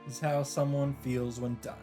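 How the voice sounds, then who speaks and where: A man's voice: mid-range voice, level tone, moderate pace, dark tone. A man with a mid-range voice reads a visual novel aloud as a let's player. He moves between story narration and casual, joking asides. Game music plays behind his voice.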